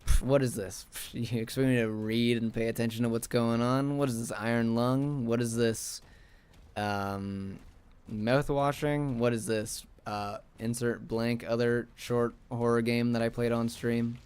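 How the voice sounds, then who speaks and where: A young man streaming a game, sleepy and a little raspy, rambling casually to chat as he plays. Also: medium pitch, steady tone, measured pace, a little mellow. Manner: rambling, talks to chat while playing.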